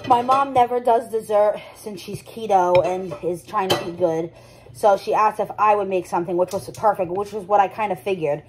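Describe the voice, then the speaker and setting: A woman's voice: medium pitch, moderate pace, crowd noise, rough recording. A young woman chatting casually to her vlog audience at home, talking loosely with lots of "like" and restarts. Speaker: vlogger. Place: home kitchen.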